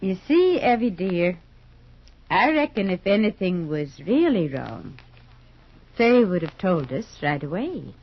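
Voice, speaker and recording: A woman's voice: lowish voice, sing-song, measured pace, heavily acted. An actress playing a kindly, patient older small-town mother in a 1950s radio soap, speaking in a folksy, hesitant, soothing way. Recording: dull, noisy 1950s radio recording.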